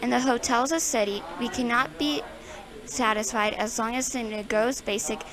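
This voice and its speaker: A woman's voice: on the high side, steady tone, moderate pace, rough recording. A female student reading a speech aloud. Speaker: student reader. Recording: crowd chatter behind.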